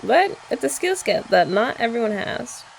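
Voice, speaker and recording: A woman's voice: a little high, very animated, normal speed, creaky, poor audio. A young woman in a playful, teasing ASMR roleplay, chatting as a girlfriend while her hair is dried. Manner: playful teasing chat, soft-spoken. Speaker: ASMR creator. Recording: faint hair dryer noise behind.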